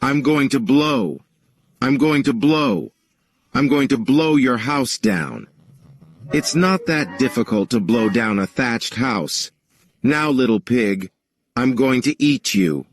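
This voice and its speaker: A man's voice: mid-range voice, lively intonation, slow. A man voicing a boastful, menacing, hungry big bad wolf in a children's story, taunting with repeated threats.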